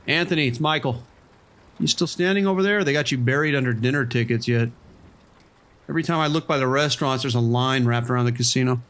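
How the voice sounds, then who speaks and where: A man playing an easygoing, watchful casino boss in an audio drama, with a casual, measured way of talking. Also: medium pitch, a bit bright, lightly acted. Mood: friendly. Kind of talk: scripted horror audio drama radio exchange.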